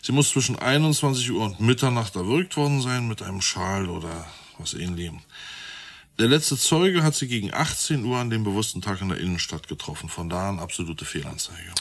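An actor playing a methodical, matter-of-fact police detective with a wry touch in a radio crime drama. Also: male voice, fairly low pitch, lively intonation, brisk. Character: methodical, dryly reasoning male police detective. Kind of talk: naturalistic radio drama dialogue.

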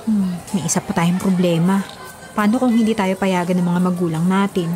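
A woman's voice: on the low side, steady tone, normal speed, a little mellow, soft background music, a faint crowd, natural voice. A Filipina actress in her twenties playing a hesitant, worrying student in a radio drama. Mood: doubtful, worried. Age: 20s. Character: hesitant, worrying young female student cousin. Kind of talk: Tagalog radio drama acting. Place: small padded radio studio. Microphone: studio microphone on boom arm.

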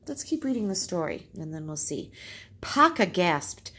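A woman's voice: fairly low pitch, very animated, moderate pace, fairly bright tone, husky. A woman teacher reading aloud and explaining in simple phrases, as in a storytime lesson.

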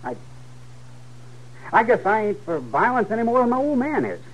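An actor playing a defiant, earnest teenage frontier son with a rural American Western drawl in a 1950s radio western. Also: male voice, high voice, very animated, normal speed, rough recording. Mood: earnest, uneasy. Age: young adult. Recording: old 1950s radio recording.

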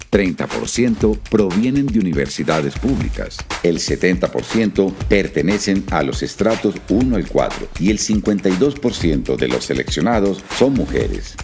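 A man's voice: sits low, gravelly. A man reading scripted narration for a promotional video.

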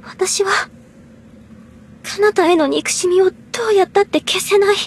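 A woman's voice: extremely high pitch, measured pace, a little hiss or hum. An actress playing a sensitive, fragile young girl in an anime game, hesitant and plaintive. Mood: anguished.

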